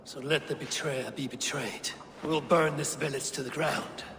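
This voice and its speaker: An actor playing a proud, vengeful Viking warrior in a video game, with a blunt, stern and scornful manner. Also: male voice, a little high, fairly even intonation, normal speed, in a large hall, background noise, lightly acted. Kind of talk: dramatic video game acting.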